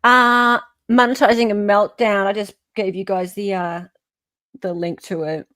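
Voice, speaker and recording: A woman's voice: mid-range voice, steady tone, unhurried, fairly bright tone, dry recording. An Australian-accented woman hosting casual reaction commentary in a blunt, incredulous, sweary way. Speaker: host.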